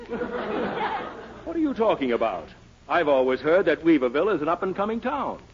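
A man's voice: fairly high pitch, huge pitch swings, normal speed, crowd noise. An actor playing a brusque, impatient out-of-town businessman in a 1940s radio comedy. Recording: muffled, very noisy 1940s radio recording.

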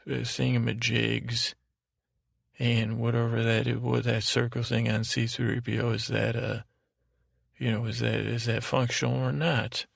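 A male podcast host with a creaky, gravelly voice, rambling haltingly through a whimsical, self-deprecating bedtime monologue in a deliberately dull drone. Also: mid-range voice, little pitch movement, no room sound, clean studio sound.

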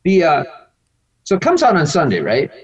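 A man's voice: a little high, huge pitch swings, moderate pace, husky. An American man, a priest being interviewed, talking emphatically and indignantly with rambling asides. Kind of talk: animated conversational interview answers.

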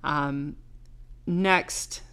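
A young woman co-hosting a podcast in casual chat, talking fast and loose with lots of filler words. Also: low voice, steady tone, fairly bright tone. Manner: chatty, lots of "like" and "um", recounting gossip. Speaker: podcast co-host.

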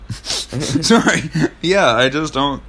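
A man's voice: a little high, animated, very slow, a bit bright. A man co-hosting a podcast in casual chat, with a sardonic, swearing, easily amused manner. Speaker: podcast co-host.

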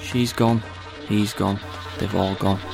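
An actor playing a scornful, domineering antagonist in a dystopian audio drama, confrontational and condescending. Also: male voice, fairly low pitch, little pitch movement, takes it slowly, vocal fry, music in the background. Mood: cold, blunt.

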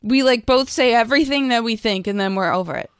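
A woman's voice: fairly high pitch, fast talker, smooth, no room sound, clean studio sound. A woman co-hosting a casual podcast, laid-back and laughing easily. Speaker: podcast co-host. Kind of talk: casual chat.

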